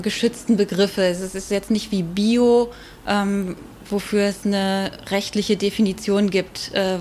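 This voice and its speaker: A female researcher with a medium-pitched voice, speaking as an interview guest. She explains things off the cuff, measured and with small hesitant pauses. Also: slight background noise.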